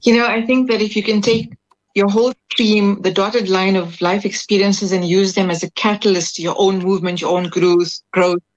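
A woman interviewer offers reflections and then asks her guest follow-up questions. She speaks in a thoughtful, measured and encouraging way. Her voice sits at an ordinary middle pitch. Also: level tone, muffled recording. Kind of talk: interview host.

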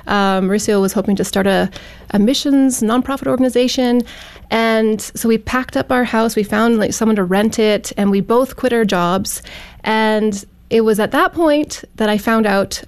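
A woman's voice: on the high side, moderate pace, clean tone. A Canadian woman speaking as an interview guest, telling personal stories in a conversational way. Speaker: guest, teacher and author.

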